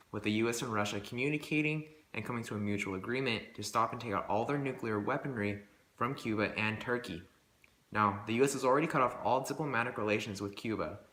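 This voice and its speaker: Bright-voiced man reading news, echoey room. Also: lowish voice. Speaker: newsreader. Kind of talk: amateur scripted newsreel narration. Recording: echoey room with faint background noise.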